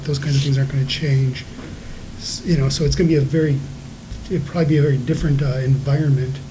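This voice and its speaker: A man on a council putting a long question to a candidate, hesitant and searching for words. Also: a little high. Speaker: council member. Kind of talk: interview question. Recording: meeting recording with clear background noise.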